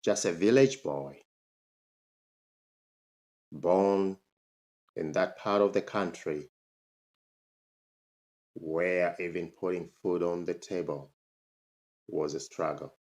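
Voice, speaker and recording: Slow, deliberate man. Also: a little mellow. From Zambia. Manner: emphatic, pitch jumps up on rhetorical questions. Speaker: motivational speaker. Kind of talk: personal storytelling to camera.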